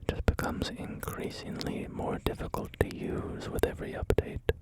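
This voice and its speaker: A man whispering through a relaxed ASMR gaming video, rambling and joking about himself with many fillers. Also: normal speed. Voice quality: soft unvoiced whisper. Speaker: ASMR creator.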